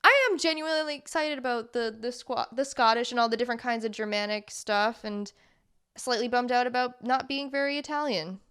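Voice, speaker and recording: A woman co-hosting a podcast in casual chat, talking in rambling, chatty runs full of quick asides. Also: a little high, a bit bright, smooth. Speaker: podcast co-host.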